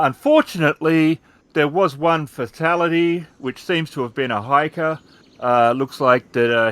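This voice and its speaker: A man playing an earnest TV news field reporter who rambles and hedges in a halting delivery. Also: medium pitch, a bit bright, pitched above the performer's own voice, close to an everyday voice.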